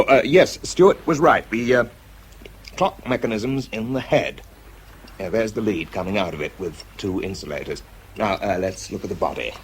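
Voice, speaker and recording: A man acting in a radio drama as an exhausted, shaky, methodical bomb disposal expert, speaking haltingly under strain. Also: lowish voice, slight background noise. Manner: halting, hesitant, muttering as he works. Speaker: actor and narrator in a radio drama. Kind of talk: radio drama acting, first-person monologue.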